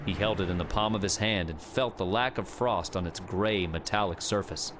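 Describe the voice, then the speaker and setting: A man's voice: low voice, normal speed, noisy background. A man reading a scripted adventure audiobook as a dramatic narrator.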